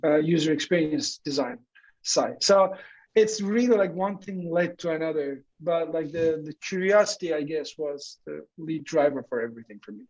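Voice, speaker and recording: A man's voice: fairly high pitch, normal speed, a little mellow, lo-fi sound. A man from Turkey in his forties, a guest answering interview questions in a loose, rambling way with lots of filler words. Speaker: guest, entrepreneur.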